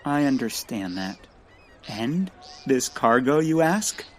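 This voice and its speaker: Earnest nerdy male bird lover, over-polite and easily flustered, lively swooping delivery, for an audio comedy. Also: medium pitch, takes it slowly. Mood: giddy. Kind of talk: comic audio drama acting.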